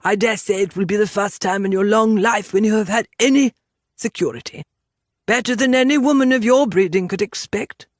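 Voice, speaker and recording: A woman voicing a wealthy, condescending English gentleman in an audiobook, with formal and measured phrasing. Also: medium pitch, no echo, clean studio sound, pitched above the performer's own voice, natural voice.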